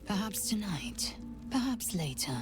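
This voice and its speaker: A woman playing a fierce warrior woman in a video game, breathy, intimate and deliberate as she speaks of her hungry yearning. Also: mid-range voice, sing-song, over music. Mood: smouldering desire.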